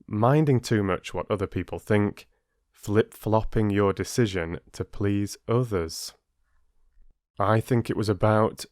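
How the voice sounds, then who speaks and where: A male storyteller with a fairly low voice and a very slow, deliberate delivery. He phrases expressively, as in an audiobook, and addresses listeners as their host. The recording is close and dry, with no room sound. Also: a little mellow, no echo.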